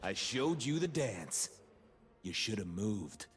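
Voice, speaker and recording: A man's voice: on the low side, very animated, slow pace, faint music behind. A man voicing a cocky, flamboyant bird-man warrior in a video game, vain and mocking, with a theatrical, teasing delivery. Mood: grave defiance. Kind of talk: video game character voice lines.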